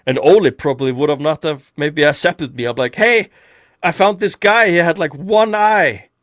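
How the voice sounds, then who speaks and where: A man's voice: a little high, normal speed, fairly bright tone, dry recording. Rambling man in a dry close mic. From Iceland. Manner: rambling, with many restarts. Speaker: podcast host. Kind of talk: casual podcast chat.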